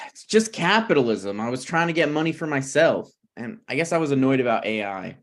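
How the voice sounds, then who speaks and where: A man's voice: mid-range voice, very animated, fairly quick. A man playing a stubborn, snarky broke writer with dry, needling delivery in a comedy table read. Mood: sheepish, dry.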